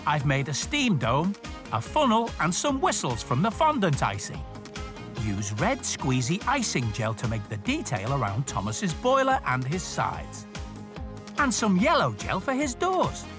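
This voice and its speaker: A man presenting for young children, reading and explaining step by step in an expressive way and speaking straight to the viewer. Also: on the high side, unhurried. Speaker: storyteller and presenter. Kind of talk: children's story reading and step-by-step craft narration. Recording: music behind the voice. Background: music.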